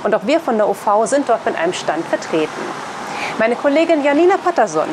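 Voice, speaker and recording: A woman's voice: fairly high pitch, sing-song, a little fast. A young woman presenting scripted news as the host of a weekly show. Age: young adult. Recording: clear background noise.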